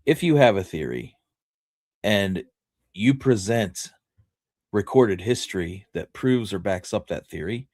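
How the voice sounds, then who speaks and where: A man co-hosting a casual history discussion, explaining his points in a measured, deliberate way. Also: lowish voice, sing-song, darkish tone, dry recording, studio quality. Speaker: podcast co-host.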